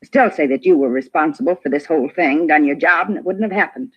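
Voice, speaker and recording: An actress playing a prickly, self-important neighborhood gossip in a 1950s radio drama, huffy and argumentative in clipped, emphatic phrases. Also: female voice, low-pitched, fast talker, breathy edge. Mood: resentful. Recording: dull vintage radio recording.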